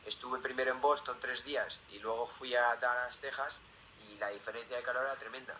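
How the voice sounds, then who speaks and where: A teenage male student with a Peninsular Spanish accent and a very bright, sharp voice. He answers questions in a casual, conversational way. There is some faint noise behind him. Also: mid-range voice, steady tone, a little fast, dull audio. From Zaragoza, Spain. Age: late teens. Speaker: interviewee, student.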